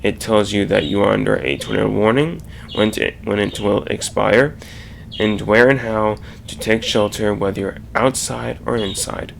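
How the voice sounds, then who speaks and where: A man with a heavily creaky, gravelly voice explains things as the presenter of an informal slideshow. His delivery is halting, with restarts mid-sentence. The amateur voice-over has faint noise behind it. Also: on the low side, fairly even intonation.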